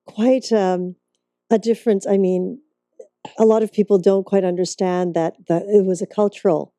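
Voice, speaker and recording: A woman from Hong Kong hosting a wellness talk show, gracious and quick to laugh as she introduces and interviews her guest. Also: mid-range voice, fairly even intonation, dark tone, no echo. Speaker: host.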